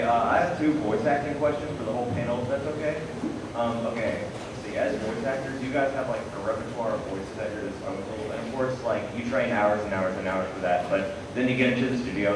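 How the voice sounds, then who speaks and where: A man's voice: fairly low pitch, noisy background. A man in the audience asking a panel long, rambling questions full of filler words. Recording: echoey hall from far off.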